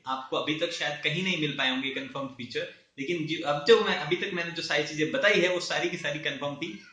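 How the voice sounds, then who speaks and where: A man's voice: on the high side, pushed and bright. Opinionated young man, echoey room. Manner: opinionated, critical, chatty. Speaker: car video host. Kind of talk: casual explainer, car review talk.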